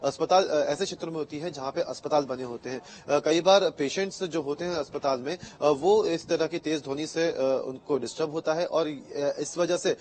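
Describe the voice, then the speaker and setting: A male news reporter with an Indian accent and a slightly high voice. He reports live and unscripted, halting often on filler sounds as he builds his sentences. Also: a little mellow. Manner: halting, with very frequent filled pauses.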